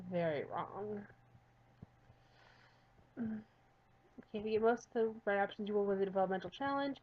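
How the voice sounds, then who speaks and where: A woman host reading a visual novel aloud with lively, animated delivery and nervous asides. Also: mid-range voice, muffled recording. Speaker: let's play host.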